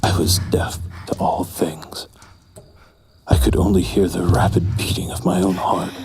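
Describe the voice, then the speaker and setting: Man acting a very deep, gravelly brooding seducer. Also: flat delivery, measured pace, in a whisper. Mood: dark, intense. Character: doomed callous male seducer recounting a dark tryst. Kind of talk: brooding first-person horror audio drama narration. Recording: prominent music under the voice.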